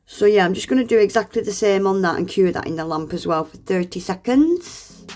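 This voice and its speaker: A woman's voice: mid-range voice, lively intonation, brisk, a little mellow. Chatty woman with a stuffy nose. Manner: chatty, self-deprecating, laughs often. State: congested with a cold. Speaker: nail art vlogger. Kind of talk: voice-over tutorial narration.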